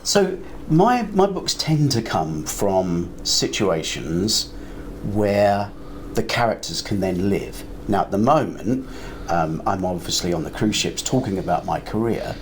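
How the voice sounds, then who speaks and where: An older man talks casually as a writer on a panel. His voice is fairly low. Noticeable ambient noise surrounds him as he tells his anecdotes. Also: animated, measured pace. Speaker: interview guest, crime writer and filmmaker.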